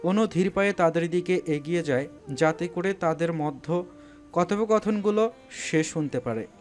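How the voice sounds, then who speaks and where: Higher-pitched male story narrator. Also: normal speed, darkish tone. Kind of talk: scripted dramatic story narration.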